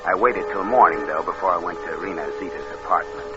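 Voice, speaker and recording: An actor playing a cold, scheming killer in an old radio thriller, on a noisy vintage recording. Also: male voice, sits low, quick pace, dull audio, loud music behind the voice, close to an everyday voice. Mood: cold, matter-of-fact. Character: cold, scheming male killer narrating his own impersonation plot. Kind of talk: 1940s-50s radio thriller acting.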